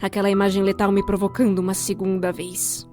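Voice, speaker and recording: A woman's voice: medium pitch, monotone, a little fast, dark tone, faint music behind. A young adult woman with a Brazilian accent giving scripted, comedic storytime narration in a theatrical, wry way. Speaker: storyteller, fan-dub voice actor.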